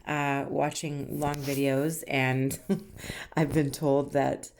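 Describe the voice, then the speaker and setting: A woman in her thirties from Canada, chatting casually and self-deprecatingly with a soft, soothing voice while she paints. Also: very deep voice, level tone, slow pace. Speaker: rock painting channel host.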